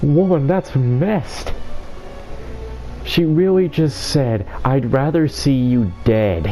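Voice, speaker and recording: A woman's voice: rumbling low, slow pace, mellow, faint music behind. A woman giving casual video game playthrough commentary, reading dialogue and reacting in amused disbelief. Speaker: gamer.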